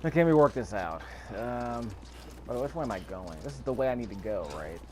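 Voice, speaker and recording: A man's voice: medium pitch, lively intonation, fast, slight background noise. A man giving casual gameplay commentary, thinking aloud with mild grumbling and rhetorical questions. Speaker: video game player narrating a playthrough.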